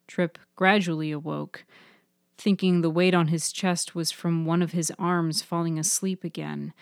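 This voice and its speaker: A woman reading scripted literary fiction for a podcast, with measured, deliberate phrasing. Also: lowish voice, steady tone, creaky, dry recording, clean studio sound. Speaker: writer-narrator of fiction podcast.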